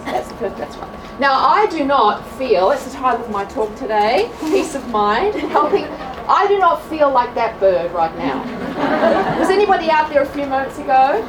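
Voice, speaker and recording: A woman with an Australian accent presenting a class to an audience at a church event, chatty and quick to laugh at herself. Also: high voice, big pitch swings. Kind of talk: live talk to a class. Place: large room with an audience. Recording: echoey hall from far off, crowd noise.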